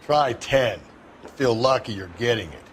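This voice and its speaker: An American man playing a gruff, streetwise veteran detective in a video game, blunt and world-weary. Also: medium pitch, lively intonation, a little slow, a little hiss or hum, natural voice. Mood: hard-nosed.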